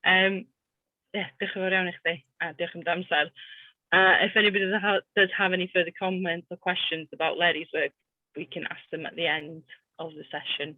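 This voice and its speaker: North Welsh woman host, relaxed and halting, thin phone-like audio. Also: fairly low pitch, dry recording. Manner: relaxed, with many hesitations. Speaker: event host and coordinator. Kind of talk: introducing speakers, switching between Welsh and English.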